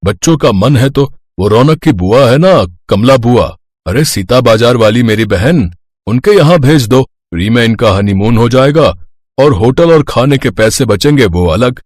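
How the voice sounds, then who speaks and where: A man acting measured adult male characters in a cartoon family story. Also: mid-range voice, animated, darkish tone, no echo. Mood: placating. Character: mild middle-aged father-in-law and serious doctor. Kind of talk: acted cartoon story dialogue.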